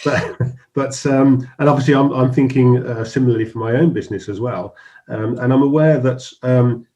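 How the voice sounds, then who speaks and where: A man's voice: medium pitch, normal speed, mellow. A middle-aged man hosting a business talk show, interviewing a guest in a friendly, hesitant way with frequent restarts and fillers. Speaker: host.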